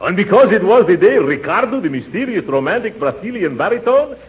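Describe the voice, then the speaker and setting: An actor playing a vain, blustery, chuckling middle-aged uncle in a 1940s radio comedy. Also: male voice, high-pitched, very animated, fairly quick. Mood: grandiose. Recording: muffled, noisy 1940s radio, audience behind.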